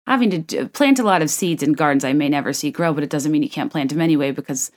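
A woman in her thirties hosting a solo podcast, chatting in a wry, rambling way with a low, soft late-night voice. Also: quick pace. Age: 30s. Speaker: podcast host. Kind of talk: casual solo podcast chat.

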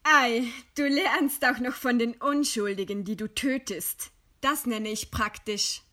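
Slow-spoken, weary condemned woman. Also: on the high side, sharp tone. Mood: ironic, probing. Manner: deliberate, dramatic delivery with dry sarcasm. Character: weary, bitterly ironic woman facing execution. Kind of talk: German radio play acting.

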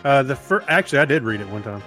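A middle-aged man co-hosting a podcast, chatting casually in a halting, rambling way. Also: mid-range voice, very animated, pushed and bright, faint music behind. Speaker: podcast co-host. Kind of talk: casual chat.

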